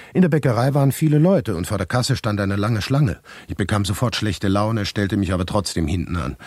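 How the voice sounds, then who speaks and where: A dry, uneasy bachelor narrating a radio play from inside his own head. His voice is low and husky, with very lively intonation and a close, dry studio sound. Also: no echo. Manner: dry, polite, expressive inner monologue. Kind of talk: literary radio drama narration.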